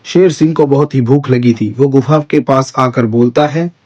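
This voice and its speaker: A man's voice: medium pitch, normal speed, darkish tone, muffled recording. A man narrating an animal story for children as its storyteller.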